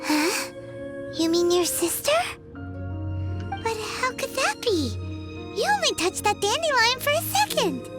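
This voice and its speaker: A woman voicing a tiny, chirpy, nosy fairy companion in a video game, with a squeaky childlike voice. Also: sing-song, takes it slowly, pauses often, over loud music, full character voice. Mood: baffled. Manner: refers to self in third person, exclamatory. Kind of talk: cartoonish video game voice acting.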